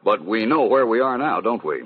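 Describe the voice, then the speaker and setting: An old lo-fi radio mystery recording carries a self-assured gentleman detective. His voice is low and a little husky, urbane and precise, with a knowing, superior polish. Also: lively intonation, normal speed. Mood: wry, relaxed. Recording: dull, lo-fi vintage radio recording.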